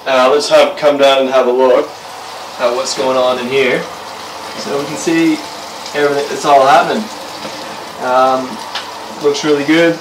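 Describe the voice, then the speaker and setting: A young man ambles through a home cooking demo, full of "uh"s and easy asides. His voice is picked up from a camera across the kitchen, so it sounds roomy and a little distant. Soft clatter of pans sits underneath. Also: medium pitch, moderate pace, background noise. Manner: relaxed, rambling, lots of fillers. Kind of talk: casual cooking demonstration. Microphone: camera mic from across the room.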